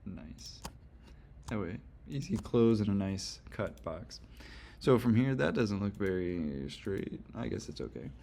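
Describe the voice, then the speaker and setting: A young man with a hoarse, gravelly voice talks through a software tutorial in a loose, rambling way, full of fillers and quick self-corrections. His voice sits a little low, and the soft clatter of a keyboard and mouse clicks carries underneath. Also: expressive, slight background noise. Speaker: instructor. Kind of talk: screen-recorded software tutorial.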